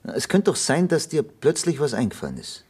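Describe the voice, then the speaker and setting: An actor playing a persistent police detective in a German radio drama, questioning in measured, insistent short sentences. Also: male voice, medium pitch, huge pitch swings, darkish tone, slightly rough, heavily acted. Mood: insistent, matter-of-fact. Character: probing, persistent male police detective inspector.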